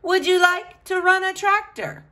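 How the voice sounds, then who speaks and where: A woman's voice: very high-pitched, unhurried, pushed and bright. A woman reading a picture book aloud to children, with a sing-song, questioning delivery.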